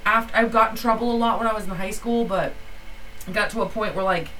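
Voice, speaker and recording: A woman's voice: medium pitch, brisk, a bit bright. Rambling woman in her forties, a bit distant. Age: 40s. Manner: rambling, swears casually. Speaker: podcast co-host, mother. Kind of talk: casual chat. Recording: slightly distant mic, faint background noise.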